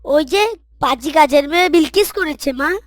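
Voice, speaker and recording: Woman voicing a high-pitched, bossy spoiled little boy. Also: normal speed, dry recording, lightly acted. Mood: sulky complaining. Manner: bossy, petulant. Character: spoiled, haughty young boy scolding the housemaid. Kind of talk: Islamic moral cartoon voice acting.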